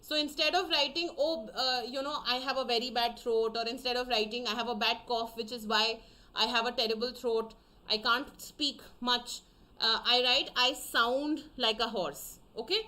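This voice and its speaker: A young woman teaching an online class, explaining slowly with many fillers and repeating herself for her students. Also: on the high side, fairly even intonation, sharp tone. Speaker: English teacher.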